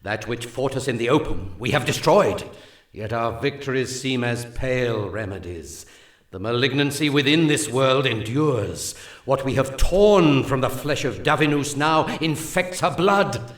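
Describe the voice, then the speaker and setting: A solemn, prophetic male seer commander from a late-nineties war game briefing, grave and ominous in his archaic phrasing, voiced by a man. His mid-pitched voice sounds echoey and set back from the mic. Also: expressive, measured pace, natural voice. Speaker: mission briefing narrator. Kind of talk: 1999 video game mission briefing. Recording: echoey, distant game cutscene audio.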